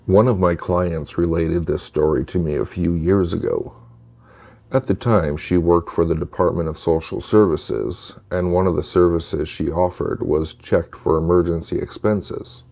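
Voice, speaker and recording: A man with a very low voice narrates creepy tales from a script. His tone is dark and soft-edged, and he delivers each line in a measured, deliberate way, as a storyteller would. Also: steady tone. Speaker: narrator of true scary stories.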